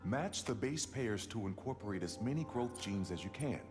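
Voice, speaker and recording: An actor playing an encouraging scientist who explains his work in measured tones in a game cutscene. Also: lowish voice, animated, close to an everyday voice. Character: middle-aged male plant geneticist, genial and encouraging. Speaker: scientist and mentor. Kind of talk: video game cutscene acting. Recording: game soundtrack music behind.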